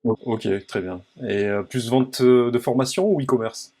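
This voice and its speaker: Chatty interview host with hesitant fillers. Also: male voice, mid-range voice, normal speed, darkish tone. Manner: friendly, hesitant, many filler sounds. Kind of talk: quick-fire interview questions.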